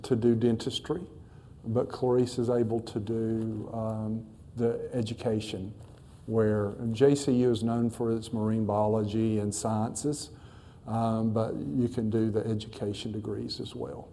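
A man's voice: lowish voice, little pitch movement, a little slow, dark tone, slight background noise. A middle-aged man, a missionary pastor, talking to a church congregation in a folksy way with many fillers and restarts.

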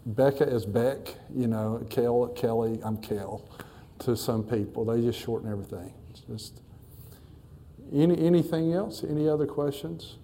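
Casting: middle-aged man, pastor; very dark, mellow tone, folksy, halting delivery, faint hum behind. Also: medium pitch. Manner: folksy, halting with many fillers. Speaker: missionary pastor. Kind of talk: church talk to a congregation. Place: church.

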